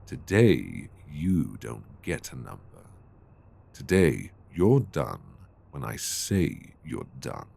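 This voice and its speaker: A British man playing a stern, possessive, dominant lover in an intimate ASMR roleplay, speaking deliberately and commandingly. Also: rumbling low, very animated, gravelly, exaggerated voice. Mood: coldly commanding. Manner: slow, deliberate, commanding with soft endearments.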